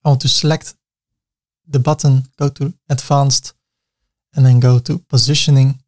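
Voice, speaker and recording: Dutch guy walking through a website build on screen, chatty, pokes fun at himself, mid pitch. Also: level tone, moderate pace, darkish tone. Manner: chatty, self-mocking asides. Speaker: web design tutor. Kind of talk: screen-recorded software tutorial.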